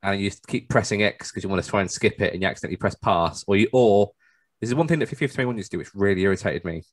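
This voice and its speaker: Fast-talking, low-pitched casual man. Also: no room sound. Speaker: podcast co-host. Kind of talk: casual chat.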